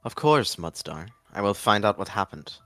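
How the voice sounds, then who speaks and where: A man's voice: on the low side, very animated, normal speed, fairly bright tone, dry recording, soft background music, pitched above the performer's own voice. A man voicing a cunning, cold male warrior cat deputy in a roleplay, confident and taunting. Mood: feigned confidence. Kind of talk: text roleplay acted aloud.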